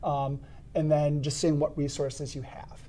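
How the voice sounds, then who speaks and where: A man explaining CPR steps as an instructor in a podcast studio, with a loud, emphatic teaching voice and frequent restarts. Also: a little high, level tone, a little slow, slight background noise.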